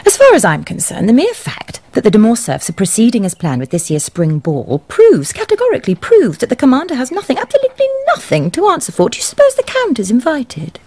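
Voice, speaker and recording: An actress playing a snobbish, fluttery society wife in a period radio drama, with a gushing, theatrical, breathy delivery. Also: female voice, fairly high pitch, huge pitch swings, fast talker, few pauses, warm tone, exaggerated voice. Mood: indignant. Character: fluttery, snobbish society wife, doting mother, cold stepmother.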